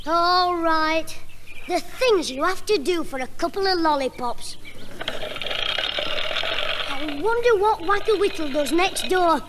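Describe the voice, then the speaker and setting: A man's voice: very high-pitched, expressive, slow, long pauses, full character voice. A man playing a cheeky, wisecracking young schoolboy in a 1960s radio comedy. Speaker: comic lead. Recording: hissy old radio recording with audience.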